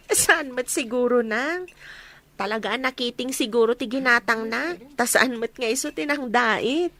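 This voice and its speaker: A woman's voice: a little high, lively intonation, measured pace, bright, forceful tone, heavily acted. A woman acting as a chatty young daughter in a radio comedy drama. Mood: chatty, teasing. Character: chatty young daughter talking with her mother.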